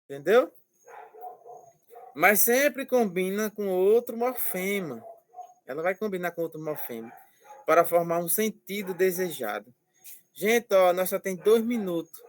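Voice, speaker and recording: High-voiced, slow-talking male teacher. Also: lively intonation, a little hiss or hum. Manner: patient, repeats words, keeps checking understanding. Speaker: language teacher. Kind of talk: online class explanation.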